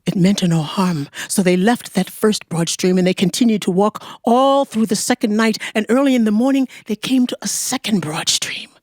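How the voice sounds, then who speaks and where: A woman storyteller narrating a folktale in a deliberate, expressive way, with dramatic pauses. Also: mid-range voice, no echo, studio quality.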